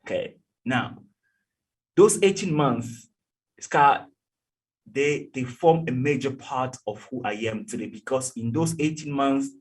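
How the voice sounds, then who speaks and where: Husky, animated man from Togo. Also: medium pitch, unhurried, long pauses, far from the mic, rough recording. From Togo, West Africa. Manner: animated, emphatic storyteller who repeats words and says "okay?". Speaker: guest, youth advocate. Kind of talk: storytelling interview. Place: indoor room, video call.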